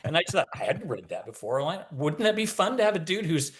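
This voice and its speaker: A man's voice: fairly high pitch, big pitch swings, quick pace, a bit bright. A man speaking as an author guest in a casual interview, explaining his craft in a talkative, wry manner.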